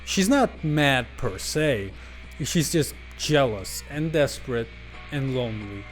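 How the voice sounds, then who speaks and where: A man's voice: mid-range voice, expressive, slow, faint music behind. A man giving casual, unscripted video game commentary in a slangy, jokey, emphatic way.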